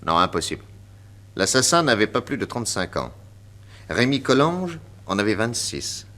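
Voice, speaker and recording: An actor playing a confident, witty detective inspector with a French accent, emphatic and theatrical in 1960s radio drama style. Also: male voice, fairly low pitch, sing-song, normal speed, bright, forceful tone. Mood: pondering. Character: confident, witty male detective inspector explaining his deductions.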